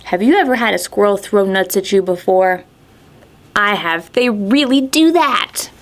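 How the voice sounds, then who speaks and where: A woman storytime host reading aloud expressively to small children, phrasing clearly and asking them questions. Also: mid-range voice, lively intonation, unhurried, a little hiss or hum.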